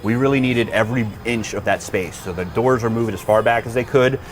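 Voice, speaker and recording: A man's voice: mid-range voice, brisk, faint music behind. An American man in the role of rescue chief, giving an informal explanatory tour of equipment in practical, plain-spoken trade jargon.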